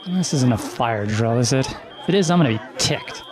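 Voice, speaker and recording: An actor playing a cocky high school bully in an audio drama, taunting and slangy. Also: male voice, animated, fairly quick, lightly acted. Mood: scornful. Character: cocky bullying male high school student, brash, goading. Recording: loud music and crowd behind.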